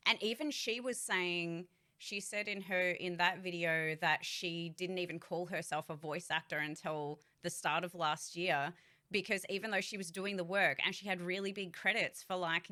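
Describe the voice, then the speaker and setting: A woman's voice: lowish voice, bright, forceful tone. A woman voice actor and streamer giving a casual vlog update, candid and rambling, swearing freely.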